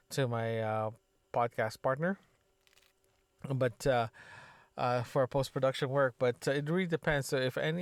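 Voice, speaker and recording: A man's voice: medium pitch, creaky, no echo. A man talking casually into a podcast microphone as a solo audio diary, rambling, often circling back and correcting himself. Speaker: podcaster, system designer.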